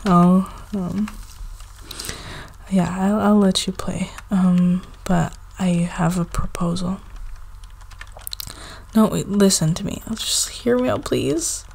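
A woman playing a needy, affectionate young girlfriend in an intimate ASMR roleplay, with a soft, halting, pleading delivery. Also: on the low side, gravelly, husky, full character voice. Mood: coaxing.

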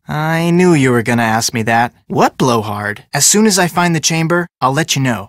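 An actor playing an upbeat, polite but persistent young detective in a video game, with an American accent and a casual, earnest delivery. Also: male voice, fairly high pitch, fairly bright tone, dry recording, clean studio sound. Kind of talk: scripted video game dialogue.

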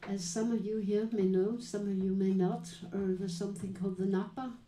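A woman moderating a live panel talk, introducing guests and asking questions in a measured, explanatory way with many pauses. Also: medium pitch, steady tone, dark tone. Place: small dim venue with live audience. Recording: echoey room recording.

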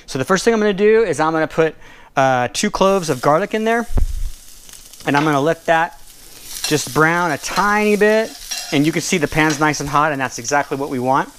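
A man giving a relaxed, casual cooking demonstration at a kitchen stove, explaining each step with the odd filler and self-correction. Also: on the high side, normal speed, a little hiss or hum. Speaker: cooking and nutrition instructor. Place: home kitchen at a gas stove, seen from overhead.

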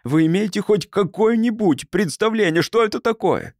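Мужчина изображает взволнованного любознательного инженера, высокий голос. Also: male voice, high-pitched, animated, moderate pace, darkish tone, no echo, studio quality, pitched above the performer's own voice. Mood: eager, puzzled. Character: agitated, inquisitive male engineer neighbour, technically minded. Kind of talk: audiobook character acting.

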